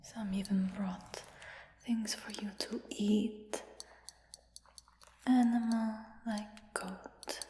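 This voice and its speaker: Brief: woman as curious dragon hunter, ASMR roleplay, slow hushed whisper, echoey room. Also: mid-range voice, steady tone, a little hiss or hum. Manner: soft unvoiced whisper. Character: curious human dragon hunter who captured a dragon. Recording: roomy whisper with long reverb.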